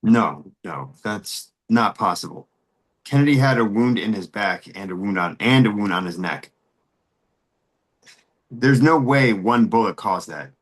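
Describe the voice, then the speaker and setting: Actor as stubborn doubter guy, lowish voice that swings around a lot, emphatic. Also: lively intonation, unhurried. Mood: disbelieving. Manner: emphatic, disbelieving. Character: stubborn, doubting male sceptic in the audience. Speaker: actor in a screenplay table read.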